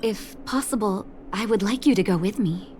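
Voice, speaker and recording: An actress playing a gentle, composed young woman doctor in a video game, speaking softly and with measured care. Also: medium pitch, a little hiss or hum, natural voice. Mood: hopeful, warm. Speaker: game character, underground doctor. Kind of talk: acted video game dialogue. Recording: studio voice over game music.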